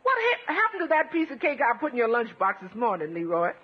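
Folksy, high-voiced housekeeper, swooping pitch. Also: female voice, huge pitch swings, fast talker, slightly rough, full character voice. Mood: gently scolding. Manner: folksy, deferential, addresses employer as sir. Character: cheerful, deferential housekeeper and cook, woman. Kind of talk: 1950s radio comedy acting. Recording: muffled, faintly noisy vintage radio recording.

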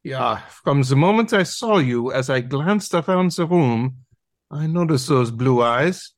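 An actor voicing a bossy, pompous dog instructor in an audio play, with an imperious, declamatory delivery. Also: male voice, medium pitch, lively intonation, a little slow, dry recording, clean studio sound, natural voice. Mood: smitten. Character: bossy, pompous German Shepherd masterclass instructor, male. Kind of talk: theatrical audio drama acting.

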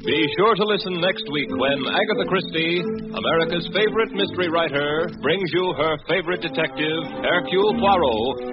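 A man's voice: on the high side, normal speed. A man announcing in formal 1940s radio style as the announcer of a mystery show. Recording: muffled old broadcast under loud music.

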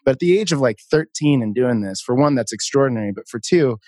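A man's voice: mid-range voice, animated, fast talker, a little mellow, no echo. A man hosting an interview in a conversational, informal way. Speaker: interview host.

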